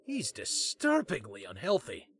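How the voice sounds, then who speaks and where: A man playing a cocky, defensive political staffer in a comedy drama, excitable and indignant. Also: medium pitch, measured pace, slightly rough, full character voice. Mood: exasperated. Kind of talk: fast comedy audio drama dialogue.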